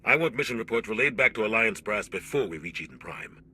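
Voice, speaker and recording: An actor playing a stern, commanding starship captain in a video game, giving curt orders. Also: male voice, fairly low pitch, expressive, fast talker, pushed and bright, breathy edge, full character voice. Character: stern authoritative male captain, middle-aged. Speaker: ship captain.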